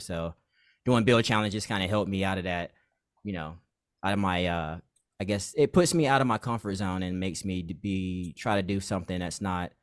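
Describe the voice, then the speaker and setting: A man talking as a podcast guest about his gaming videos, relaxed and rambling, trailing off into quieter asides. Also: sits low, smooth, no echo, clean studio sound. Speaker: podcast guest, game content creator. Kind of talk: casual chat.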